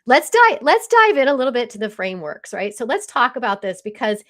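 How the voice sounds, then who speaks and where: A woman's voice: fairly high pitch, big pitch swings, fairly quick, a bit bright. A woman hosting a live-stream interview in a conversational way, recapping and working her way toward questions. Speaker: podcast host and interviewer.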